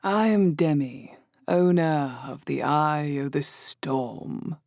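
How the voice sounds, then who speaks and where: A man voicing a silver-haired older woman innkeeper in an audiobook, amused and mysterious, with a slow, hushed, purring delivery. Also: a little high, vocal fry, no room sound, clean studio sound, pitched above the performer's own voice. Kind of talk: audiobook character voice.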